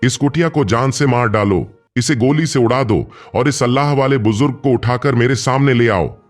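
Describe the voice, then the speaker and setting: A man's voice: mid-range voice, normal speed, voice pushed up, natural voice. A man voicing a cruel, hot-tempered merchant father in a storybook tale, with a commanding manner. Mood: furious. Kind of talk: storybook narration. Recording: music bed behind the voice.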